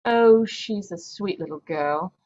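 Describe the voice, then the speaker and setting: An actress playing a kind, tactful married woman in a radio mystery, gentle and polite in manner. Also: medium pitch, takes it slowly. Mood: kindly. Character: Mrs. Watson, kind, tactful married Englishwoman. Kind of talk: old-time radio mystery acting. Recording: dull, lo-fi video call audio.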